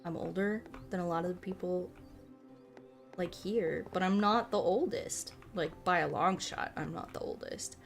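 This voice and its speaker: A woman in her late twenties chatting informally as a livestream host, rambling and animated, with loose, sweary language. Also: fairly low pitch, normal speed, creaky, soft background music. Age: late 20s. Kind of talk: casual chat while playing a game, reading and answering chat.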